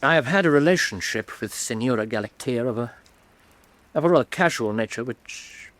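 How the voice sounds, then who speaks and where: A man's voice: medium pitch, expressive, moderate pace, a bit bright, dry recording, natural voice. A man playing an earnest, defensive painter. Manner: hesitant, earnest, stiffly proper. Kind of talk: radio drama acting.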